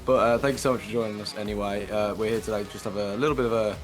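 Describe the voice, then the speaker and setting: A man in his late twenties with an English accent and a slightly croaky voice, co-hosting a casual football podcast and chatting with lots of fillers. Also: lowish voice, steady tone, very fast, over music. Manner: chatty, many fillers and restarts. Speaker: podcast co-host.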